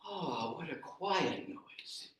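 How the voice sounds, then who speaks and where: A man's voice: very high-pitched, animated, voice pushed up. A man putting on a fed-up grump who hates noise. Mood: contented. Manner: loud, drawn-out complaining. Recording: echoey room from far off.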